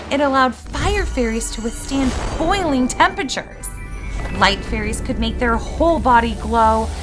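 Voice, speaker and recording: A woman voices a bookish fairy scholar with a high voice. She lectures earnestly, like someone obsessed with magical gems, in an excitable roleplay performance. Music plays behind her. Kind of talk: scripted roleplay voice acting with storytelling.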